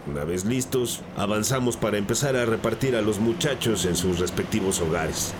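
An actor playing a plain-spoken, cooperative school bus driver answering questions in an audio drama. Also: male voice, lowish voice, animated, normal speed, close to an everyday voice. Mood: guarded, matter-of-fact. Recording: noisy, vehicle ambience behind.

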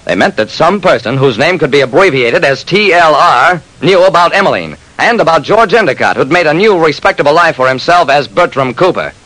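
An actor playing a brisk private detective who narrates his case tersely and wryly in an old radio crime drama. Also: fairly high pitch, big pitch swings, a bit bright, dry recording, poor audio. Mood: matter-of-fact, wry. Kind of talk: 1940s-50s radio crime drama, first-person narration. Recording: old radio broadcast recording.

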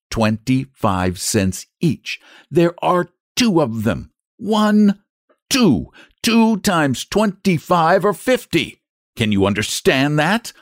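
A man voicing an enraged, sarcastic customer who shouts and sputters over a shipping fee. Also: low voice, no room sound, clean studio sound, pitched below the performer's own voice. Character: furious, sarcastic man shipping guinea pigs.

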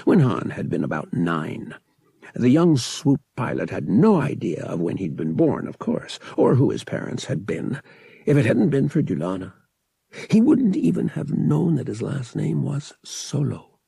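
Gravelly, husky male audiobook narrator. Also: mid-range voice, warm tone, no echo, clean studio sound. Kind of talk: dramatic scripted narration.

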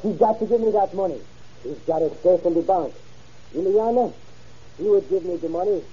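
Stubborn immigrant man, broken English, very husky, piercingly bright, old radio drama. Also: sits high, expressive, fairly quick, full character voice. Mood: bitter, threatening. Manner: broken English, emphatic short phrases. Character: agitated immigrant man, stubborn, threatening, pleading innocence. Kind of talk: old-time radio crime drama acting. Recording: dull, muffled old radio recording.

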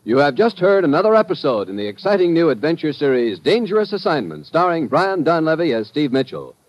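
A man's voice: a little high, sing-song, fairly quick. A man announcing a radio program in a scripted 1950s broadcast style. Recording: dull vintage radio recording. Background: music.

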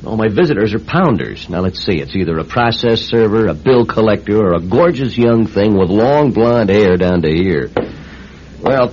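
An actor playing a wisecracking, skeptical bachelor writer in a radio drama, narrating and acting in a sardonic way. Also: male voice, fairly low pitch, animated, moderate pace, darkish tone, slight background noise, lightly acted. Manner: wry, sardonic. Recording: dull lo-fi radio drama recording.

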